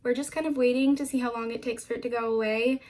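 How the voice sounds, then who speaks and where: Slightly raspy young woman chatting. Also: on the high side, fast. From Florida. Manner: relaxed, rambling, uses "like" often. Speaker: vlogger, stay-at-home mom. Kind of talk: casual chatty vlog narration.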